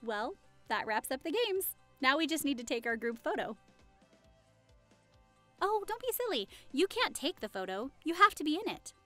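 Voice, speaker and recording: A woman's voice: high voice, very animated, normal speed, a bit bright, soft background music. A woman acting in an audio roleplay as a cheerful, teasing hostess welcoming friends to a party. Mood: excited, festive. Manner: bubbly, welcoming, playful.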